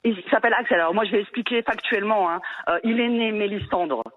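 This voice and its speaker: A woman's voice: mid-range voice, quick pace. A woman calling in to a radio show, arguing defensively and cutting in. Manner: defensive, interrupting. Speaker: radio caller. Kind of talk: call-in radio debate. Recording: tinny phone line.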